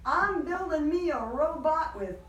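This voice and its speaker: A woman teacher reading a picture book aloud to young children, animated and encouraging, stopping for simple questions and explanations. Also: high-pitched, unhurried, highs cut off. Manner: animated, encouraging, acts out sound effects. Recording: roomy, distant mic, faint background noise.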